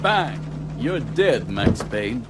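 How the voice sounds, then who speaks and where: An actor playing a suave, theatrical gangster in a noir video game, smugly friendly and playful. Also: male voice, medium pitch, big pitch swings, extremely slow, over loud music. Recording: heavy noise and ambience behind.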